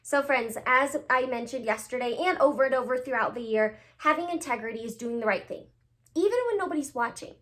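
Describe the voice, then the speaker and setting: A woman teacher talking to young children and reading a storybook aloud in an expressive, emphatic way. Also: sits high, a little fast.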